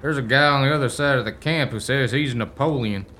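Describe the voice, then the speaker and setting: A man's voice: mid-range voice, fairly quick, bright, forceful tone, natural voice. A man playing a folksy, teasing country camp dweller in a radio play, full of homespun idioms. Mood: chummy.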